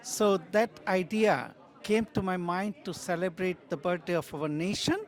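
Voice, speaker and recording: Male event organizer, Indian accent, notably high voice, proud formal remarks. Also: moderate pace.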